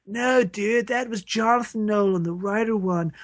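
A man's voice: high-pitched, normal speed, no room sound, clean studio sound, pitched above the performer's own voice, natural voice. A man voicing a defensive, dismissive dude in a comic audio sketch.

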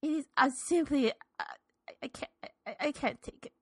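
Actress as an indignant, easily upset old woman, high-voiced and stammering, repeating herself; sketch comedy, dry sound. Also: moderate pace, fairly bright tone, no echo.

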